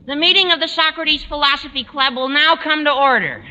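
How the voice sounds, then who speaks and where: An actor playing an earnest, eager high school boy with a squawky adolescent delivery in a 1940s radio comedy. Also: brisk, close to an everyday voice. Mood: earnest, formal. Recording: dull, noisy, reverberant 1940s radio recording.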